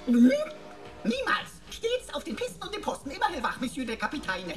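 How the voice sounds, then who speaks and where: A woman's voice: high voice, huge pitch swings, a little fast, music in the background. A woman playing a chatty, cheeky, overexcited talking computer in a German radio play, full of playful, mock-pompous wordplay. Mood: playfully eager.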